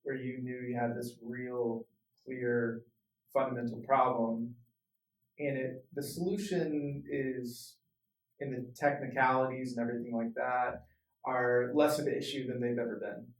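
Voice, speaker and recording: A man's voice: medium pitch, flat delivery, a little slow, distant mic. A man co-hosting a podcast in a casual, affirming way. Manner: affirming, lots of "yeah". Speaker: podcast co-host. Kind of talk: casual interview talk. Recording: roomy echo, off-mic.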